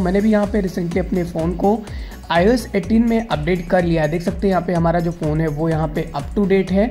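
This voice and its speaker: Mellow-voiced man hosting a tech tutorial. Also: sits high. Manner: repetitive, explanatory, addresses viewers informally as friends. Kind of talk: casual phone tutorial.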